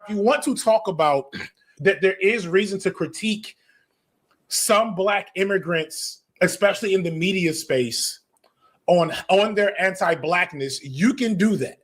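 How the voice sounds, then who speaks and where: High-pitched, emphatic American man. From United States. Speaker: video essayist and commentator. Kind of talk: opinionated off-the-cuff commentary.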